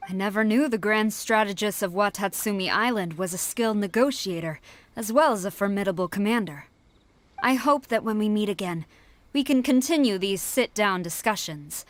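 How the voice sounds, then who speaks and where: A woman's voice: medium pitch, normal speed, no echo, close to an everyday voice. A woman voicing a stern, honour-bound military commander in a video game, formal, commanding and clipped. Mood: formal, measured.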